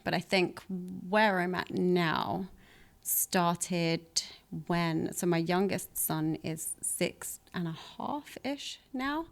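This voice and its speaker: Woman, 30s, podcast guest, low-ish voice, reflective and chatty, laughs a lot. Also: slow, vocal fry. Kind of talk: candid conversational interview.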